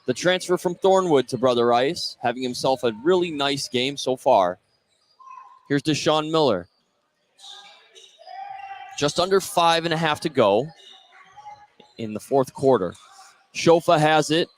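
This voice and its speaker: A man's voice: on the high side, animated, measured pace, long pauses. A man doing live basketball play-by-play commentary on the radio. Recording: gym ambience noise behind commentary. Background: sports gym ambience.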